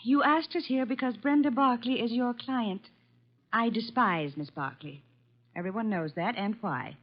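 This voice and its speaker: A woman's voice: on the high side, moderate pace, natural voice. A woman playing a cool, disdainful widow in an old-time radio drama, speaking in a haughty, cutting, composed way. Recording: dull vintage radio recording.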